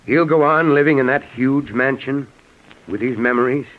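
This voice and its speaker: An actor in a 1940s radio drama playing a courteous, wistful middle-aged doctor with a reflective, measured manner. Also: male voice, medium pitch, lightly acted. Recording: tinny, phone-like 1940s radio recording.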